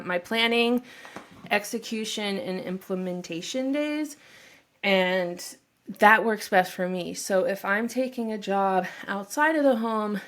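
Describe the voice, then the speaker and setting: Slow-talking nervous woman vlogger. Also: mid-range voice, a bit bright. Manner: rambling and self-deprecating, with many fillers; shy and nervous. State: stuffy from a head cold. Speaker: vlogger introducing her channel. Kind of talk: casual talk to camera. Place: home office. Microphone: own microphone.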